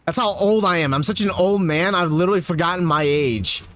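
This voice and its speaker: A man in his thirties streaming a video game live, chatting with viewers and mocking himself in an animated way while reacting loudly to enemies. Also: sits high, brisk. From United States. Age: 30s.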